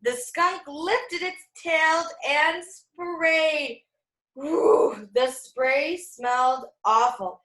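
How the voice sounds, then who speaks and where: A woman reads a picture book aloud to young children in a high-pitched voice. She takes her time, telling it expressively like a teacher at storytime. The room around her is noticeably echoey. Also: extremely slow, fairly bright tone.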